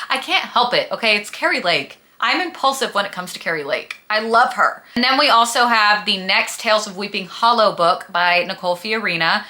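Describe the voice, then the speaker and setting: A woman's voice: mid-range voice, lively intonation, normal speed, sharp tone. A woman talking casually as a book vlogger, with a gushing, emphatic, dramatic and slangy manner. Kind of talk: casual chatty book review vlog.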